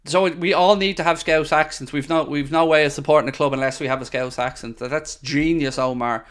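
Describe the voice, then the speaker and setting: A man's voice: a little high, steady tone, a little fast, a bit bright, clear, resonant tone. A man hosting a live football watchalong, ranting in exasperated, sarcastic reaction to his team's play. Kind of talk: live match commentary and reaction.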